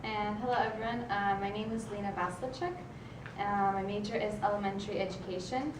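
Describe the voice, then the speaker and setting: A woman's voice: mid-range voice, steady tone, brisk. A young woman from Ukraine, a native Ukrainian speaker, introducing herself as a student writing consultant in a plain, hesitant way. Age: young adult. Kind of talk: conference panel introduction. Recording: echoey room, distant mic, background noise.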